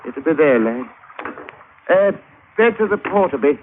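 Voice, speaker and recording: A man's voice: a little high, expressive, unhurried. An actor playing a seasoned, salty seaman with dry colloquial talk in an old-time radio drama. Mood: steady, commanding. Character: seasoned salty male seaman, leader of treasure hunt. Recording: noisy, tinny old radio recording.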